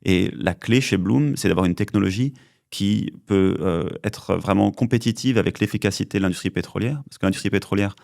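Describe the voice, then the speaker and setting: A man from western France answers podcast questions in a slow, explanatory manner, pausing often on his fillers. His voice sits very low with a gravelly edge. The sound is dry and close, with no room around it. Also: a little mellow, no room sound. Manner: measured, explanatory, hesitant fillers. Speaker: company co-founder, chemist.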